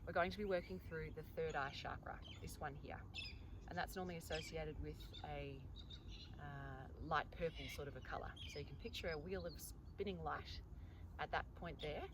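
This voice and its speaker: A woman teaching yoga and breathwork, guiding a meditation in a calm, gentle way. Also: deep voice, normal speed, background noise.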